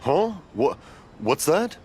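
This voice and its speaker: A man's voice: fairly high pitch, sing-song, noisy background. An actor playing a jovial, hard-of-hearing old father and rambling storyteller in a video game. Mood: cheerfully confused. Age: older. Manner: rambling storyteller, drawn-out, easily confused. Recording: loud game music behind the voice. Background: game music.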